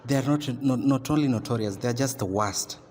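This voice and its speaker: An actor with a Kenyan accent playing a stern class teacher who lectures and scolds in a halting, emphatic way in an amateur radio drama. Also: male voice, medium pitch, dark tone, lightly acted. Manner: admonishing, emphatic, halting delivery. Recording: noisy, very reverberant room.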